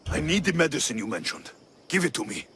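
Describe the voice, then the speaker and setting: Blunt, lively mercenary hero. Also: male voice, on the high side, quick pace, slight background noise. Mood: demanding. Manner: dry, direct. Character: blunt, wry male mercenary hero of ancient Greece. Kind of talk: video game character acting.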